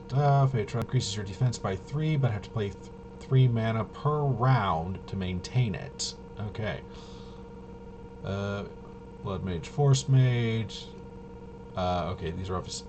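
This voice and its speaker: A man with a fairly low voice talks casually through game rules as a reviewer. He is halting and mumbles as he half-reads. The recording has clear noise behind him. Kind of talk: casual off-the-cuff rules walkthrough. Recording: clearly noisy home recording.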